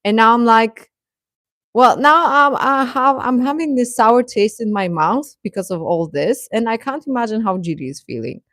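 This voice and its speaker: A woman hosting a livestream, giving casual fan commentary to her chat in a rambling, halting, emphatic way that repeats points. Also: fairly high pitch, animated, a bit bright, dry recording, studio quality. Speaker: livestream host.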